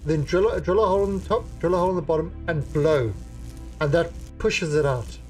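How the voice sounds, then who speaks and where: A man's voice: on the high side, fairly quick. Rambling chuckling man, distant mic, music behind. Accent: non-American accent. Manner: rambling storyteller, chuckles often. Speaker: streamer host. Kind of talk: casual chat on a live stream.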